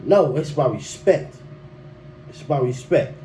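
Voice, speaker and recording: A man's voice: mid-range voice, expressive, moderate pace, mellow. A young man, talking loosely and emphatically in a solo podcast monologue, often restarting and tossing in slang. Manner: loose, repetitive and emphatic, with frequent restarts and "bruh". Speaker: podcast host. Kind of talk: solo podcast monologue giving relationship advice. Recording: faint background noise.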